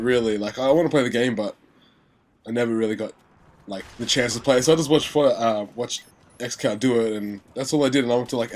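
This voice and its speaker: Young man rambling, nose still stuffy. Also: mid-range voice. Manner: rambling, lots of fillers and restarts. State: stuffy nose, sore voice after illness. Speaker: gaming commentator. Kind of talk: casual game commentary.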